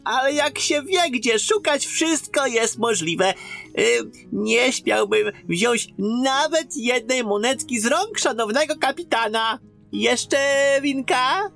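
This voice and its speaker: A man acting an obsequious, gossiping innkeeper in a fantasy audio drama, with a fawning, halting manner. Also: very high-pitched, gravelly, sharp tone, full character voice. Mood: fawning, welcoming. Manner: fawning, halting, drawn-out delivery.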